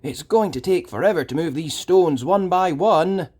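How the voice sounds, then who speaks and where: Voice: medium pitch, expressive, normal speed, a little mellow, pitched above the performer's own voice, lightly acted. A storyteller voicing a playful, hardworking male cartoon puppy in a plainspoken manner. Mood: daunted.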